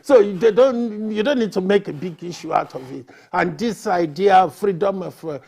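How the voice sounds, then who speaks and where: A man's voice: high-pitched, normal speed, gravelly, a little mellow. A man speaking as a lawyer on a discussion panel, explaining his points. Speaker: lawyer guest.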